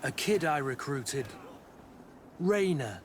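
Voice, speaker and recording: An actor playing a blunt, challenging mercenary captain in a video game. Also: male voice, mid-range voice, animated, slow. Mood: confrontational. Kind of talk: video game cutscene acting. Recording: outdoor ambient noise throughout.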